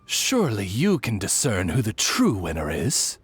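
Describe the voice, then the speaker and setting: Deep husky butler type, very formal n stately, game acting. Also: male voice, huge pitch swings, normal speed, no room sound, heavily acted. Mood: solemn deference. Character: dignified, courtly male retainer, formal and deferential.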